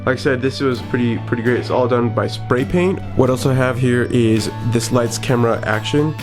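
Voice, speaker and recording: Brief: young adult male vlogger, middle-pitched, casual and rambling, small home office, faint guitar music behind. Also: moderate pace. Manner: rambling and upbeat, lots of fillers and restarts. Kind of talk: casual vlog studio tour.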